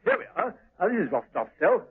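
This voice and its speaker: An officious colonel in a 1970s radio thriller, formal and easily flustered. His voice is high and animated, through a narrow, muffled old recording. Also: a little fast, breathy edge, exaggerated voice. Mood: bewildered. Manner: formal, defensive, hesitant when apologetic. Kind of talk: 1970s radio drama acting.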